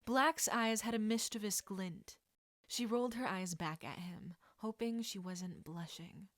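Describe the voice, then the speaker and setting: A woman's voice: mid-range voice, a little slow, dry recording. Woman narrating fiction, dry close sound. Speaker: podfic narrator.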